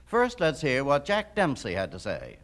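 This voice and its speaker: A man's voice: medium pitch, wildly swooping pitch, moderate pace, a bit bright, a little hiss or hum. A man reading scripted narration as the narrator of a documentary.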